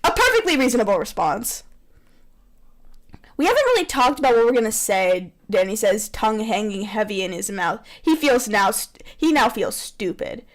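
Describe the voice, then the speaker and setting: High-pitched woman, theatrical and bossy. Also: very animated, normal speed. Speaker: narrator and director of a fan fiction reading. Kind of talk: playful read-aloud mixed with casual banter. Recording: poor-quality amateur microphone.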